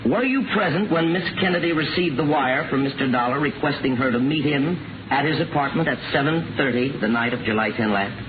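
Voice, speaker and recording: A man's voice: mid-range voice, fairly quick, natural voice. An actor plays a stern, sardonic prosecuting attorney in a vintage radio drama. His mid-range voice presses formally and relentlessly. The recording is muffled, thin and noisy. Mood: pressing, stern. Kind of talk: 1950s radio drama acting. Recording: noisy, narrow-band 1950 radio recording.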